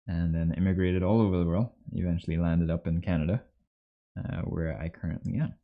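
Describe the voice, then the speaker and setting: A man's voice: low-pitched, steady tone, fairly quick, vocal fry. A man in his thirties from Trinidad and Tobago, chatting casually and easily on a livestream while he works, in slightly muffled audio. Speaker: livestream host, 3D artist. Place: small indoor home workspace.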